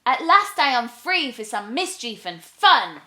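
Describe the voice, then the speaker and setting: A woman's voice: high-pitched, sing-song, a little slow, sharp tone, roomy sound, voice pushed up, exaggerated voice. A woman voicing a hot-tempered, mischievous young witch in a storybook, exclaiming and chanting rhymes dramatically. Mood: gleeful, mischievous.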